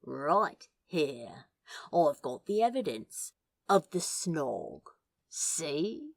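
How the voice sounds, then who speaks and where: Cheeky male demon played by a woman, low and unhurried, slangy teasing drawl, flirtatious, for a storybook. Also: very animated, vocal fry, no echo, studio quality, heavily acted. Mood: smug teasing.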